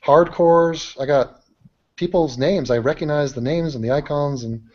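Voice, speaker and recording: A man's voice: a little high, moderate pace, darkish tone. Muffled, teasing man hosting banter. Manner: teasing, sweary. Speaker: host of an online chat show. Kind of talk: casual group banter. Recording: muffled online call, other voices behind.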